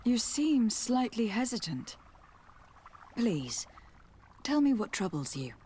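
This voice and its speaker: A young noblewoman diplomat from a fantasy video game. She speaks formally and earnestly, with poised courtesy and measured phrasing. There is faint noise behind her. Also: a little high, sing-song, dark tone. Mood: polite, earnest. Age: young adult. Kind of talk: scripted video game acting.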